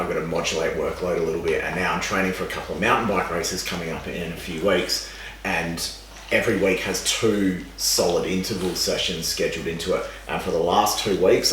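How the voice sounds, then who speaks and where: A young man talks in a deep, raspy, dry voice that sounds worn and close to giving out. He rambles and swears casually as a podcast guest. The sound is echoey and distant, with a faint hiss behind. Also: a bit bright. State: losing his voice, raspy. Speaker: podcast guest, exercise scientist. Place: room with a seated podcast setup. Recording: echoey room, distant mic, faint noise.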